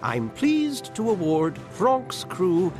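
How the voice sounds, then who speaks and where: Pompous creature judge, slow formal delivery, hugely animated intonation, game cutscene, background music. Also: male voice, high voice, full character voice. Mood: approving, ceremonious. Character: pompous, irritable asura council judge, small goblin-like inventor male. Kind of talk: video game cutscene acting. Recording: music behind the voice.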